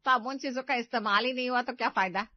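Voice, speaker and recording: A woman voicing a practical, talkative young-wife character in a household story, with an animated, protesting manner. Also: a little high, quick pace, no room sound, lo-fi sound, lightly acted. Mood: explaining. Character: practical, talkative elder daughter-in-law in a household.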